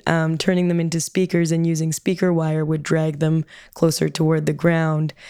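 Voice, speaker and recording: A woman artist talking reflectively about her work, with a low voice of limited range and frequent pauses and fillers. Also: warm tone, no room sound, clean studio sound. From Canada. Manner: thoughtful, with frequent ums and hedging "kind of". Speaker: artist and audio producer. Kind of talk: reflective interview answer.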